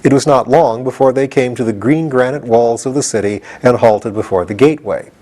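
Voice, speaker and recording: A man's voice: mid-range voice, fairly quick, warm tone. A man reading a storybook aloud as scripted audiobook narration. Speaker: audiobook reader.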